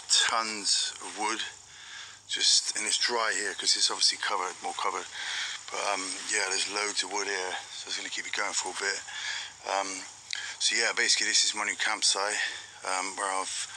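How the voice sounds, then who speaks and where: A young man from Britain talks through his forest camp in a loose, rambling way, full of "um"s and pauses. His voice sits fairly low. Clear outdoor noise from wind and the woods surrounds him on a handheld camera mic. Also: low-quality recording. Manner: relaxed, rambling, many "um"s. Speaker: vlogger. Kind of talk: casual outdoor vlog narration. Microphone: handheld action camera.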